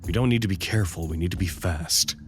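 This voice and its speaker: A man's voice: low-pitched, fast talker, a little hiss or hum, lightly acted. An actor playing a brusque, pragmatic male scientist in an audio drama, dry and matter-of-fact. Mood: dry, urgent.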